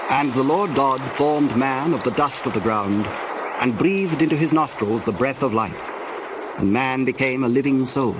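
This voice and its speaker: A man narrating a dramatized scripture reading in a measured, solemn storytelling manner. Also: mid-range voice, expressive, noisy background.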